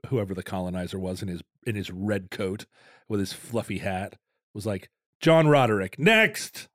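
A man's voice: fairly low pitch, lively intonation, moderate pace, fairly bright tone, dry recording, clean studio sound. A middle-aged man co-hosting a podcast in casual banter, rambling and self-deprecating, laughing often. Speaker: podcast co-host.

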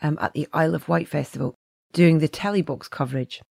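A woman hosting a podcast, her voice a little gravelly, talking in a chatty, effusive way with many ums and restarts. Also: deep voice, steady tone, brisk, a little mellow, dry recording, studio quality. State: slightly gravelly, tired voice. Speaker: podcast host. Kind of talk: casual podcast chat and interview.

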